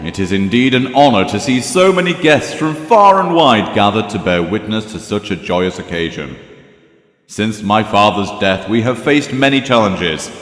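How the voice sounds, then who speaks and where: A man's voice: fairly low pitch, sing-song, moderate pace, fairly bright tone. An actor playing a young-looking vampire king, gracious and formal, in an audio drama. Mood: stately, proud. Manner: formal, stately. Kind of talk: audio drama acting, ceremonial speech. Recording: echoey reverberant hall, music behind.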